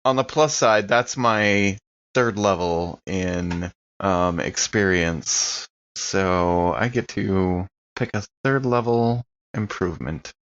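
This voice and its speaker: A man's voice: low-pitched, takes it slowly, a bit bright, lo-fi sound. A man chatting casually and animatedly as a player in a tabletop game.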